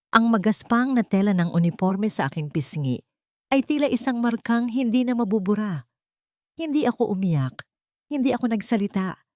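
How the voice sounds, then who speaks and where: A woman with a Filipino accent reading a scripted first-person story as an audiobook narrator, measured and dramatic. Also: on the low side, darkish tone, no room sound, studio quality.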